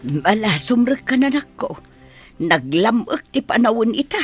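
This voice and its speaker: A performer playing a concerned, cautioning mother of a grown son in an Ilocano radio drama, with a husky voice. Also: mid-range voice, very animated, a little slow, soft background music.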